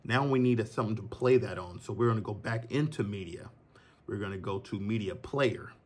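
A man in his thirties giving a relaxed, chatty software tutorial from his home office, recorded on a phone and talking to viewers as y'all. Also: fairly low pitch, a little fast, slightly rough. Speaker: filmmaker teaching a tutorial. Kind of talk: casual screen-recorded tutorial. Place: green screen studio, then home office.

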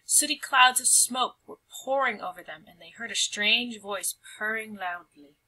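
A woman reading a children's storybook aloud in an expressive, animated and carefully phrased narration. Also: a little high, measured pace, rough recording. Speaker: storybook reader.

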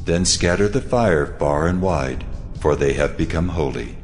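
A vast, cavernous echo carries the very deep voice of God. He gives orders in solemn, measured phrases, as in an audio scripture drama. Music plays behind him. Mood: solemn, commanding. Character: God, solemn commanding ageless male deity.

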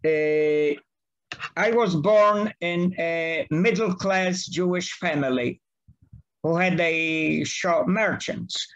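A man in his eighties with a Polish accent, a native Polish speaker, recalling the past slowly and carefully on a video call from his home study. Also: on the high side, a bit bright. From Poland. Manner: slow, careful, halting delivery. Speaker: guest speaker. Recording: dull lo-fi video call audio.

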